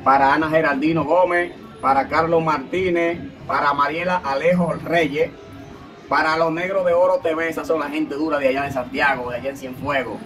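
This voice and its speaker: Dominican man, thirties, casual vlog host, unhurried, slightly high voice, music in the background. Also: fairly even intonation, a bit bright, rough recording. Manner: slow listing of names, reading off a phone. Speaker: channel host. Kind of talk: casual shout-outs read from a phone. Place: outdoors on a patio by a barred window.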